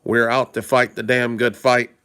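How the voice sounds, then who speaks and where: A man hosting a live stream, hoarse and stuffed up from a cold, talking casually in a rambling way and greeting viewers by name. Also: medium pitch, level tone, normal speed, pushed and bright. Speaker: livestream host.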